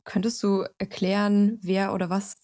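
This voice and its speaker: A woman's voice: medium pitch, little pitch movement, moderate pace, warm tone, no room sound, clean studio sound. A woman hosting an interview podcast, asking curious questions in a friendly way with occasional fillers. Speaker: podcast host. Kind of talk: casual interview.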